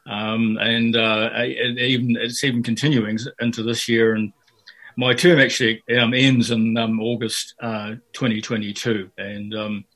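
Halting older man, flat delivery. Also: medium pitch. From Fiji and New Zealand. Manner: hesitant, many fillers and restarts. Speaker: interviewed poet. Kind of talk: radio interview.

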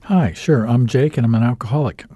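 A man in his fifties with an American accent, telling his story with plenty of ums and uhs and a dry, wry humor. His voice is mellow and a little dark. It sounds close and dry on a studio microphone. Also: medium pitch, brisk, slightly rough, no echo. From United States. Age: 50s. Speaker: radio show guest. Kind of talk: candid storytelling interview.

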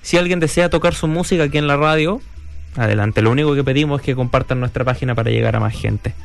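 A man's voice: mid-range voice, fast, creaky. A man co-hosting a community radio show in casual chat, easygoing, reading out listener messages at a studio mic. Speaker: radio co-host. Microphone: studio mic with headphones.

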